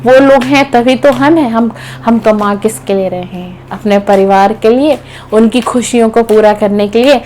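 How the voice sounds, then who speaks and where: Soft-spoken woman giving spiritual advice. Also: on the high side, moderate pace, a little hiss or hum. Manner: gentle, repetitive, advising with frequent "theek hai na". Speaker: tarot card reader. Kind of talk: spiritual guidance talk to viewers. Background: faint music.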